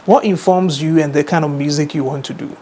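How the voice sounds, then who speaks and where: Higher-voiced Ghanaian male interviewer. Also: a little fast, dark tone, slight background noise. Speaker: interviewer, show host. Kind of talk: conversational interview.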